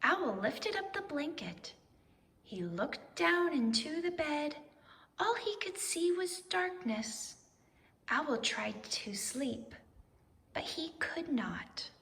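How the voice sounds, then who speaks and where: A woman reading a children's story aloud in expressive narration, with deliberate, dramatic phrasing. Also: sits high, in a large hall. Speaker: storybook reader.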